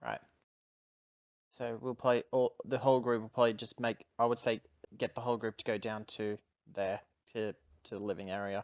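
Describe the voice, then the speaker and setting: A man's voice: on the low side, flat delivery, normal speed, dull audio. A man chatting casually and thinking aloud as a player in a tabletop roleplaying game.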